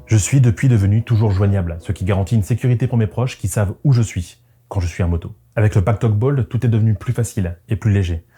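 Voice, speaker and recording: A man's voice: on the low side, fast, hardly any pauses, warm tone, husky. A man giving scripted, first-person narration as a motorcycle vlogger, in a reflective, conversational manner. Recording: music behind the voice. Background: background music.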